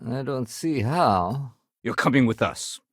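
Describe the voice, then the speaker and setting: A man playing a dutiful, fair-minded security sergeant in a video game, speaking in an earnest, measured, official way. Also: medium pitch, animated, husky, no echo, clean studio sound. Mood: businesslike. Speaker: game voice actor. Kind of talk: video game dialogue acting.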